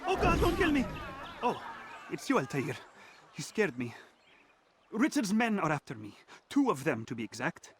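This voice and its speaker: A man's voice: high voice, wildly swooping pitch, moderate pace, fairly bright tone, a little hiss or hum, exaggerated voice. An actor playing a frightened male informant on the run, jittery and breathless. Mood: panicked.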